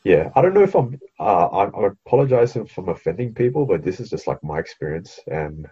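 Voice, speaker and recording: Podcast co-host: a young Australian man with an Australian accent, husky and laid-back. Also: lowish voice, sing-song, brisk, lo-fi sound. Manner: laid-back, swears casually, hesitant with many ums. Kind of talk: casual chat.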